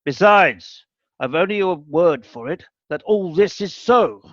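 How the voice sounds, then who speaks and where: A man's voice: high-pitched, animated, measured pace, dry recording, muffled recording. A man playing a cold, grumpy elderly miser in a radio play, curt and scornful, barking orders. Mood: cold, dismissive.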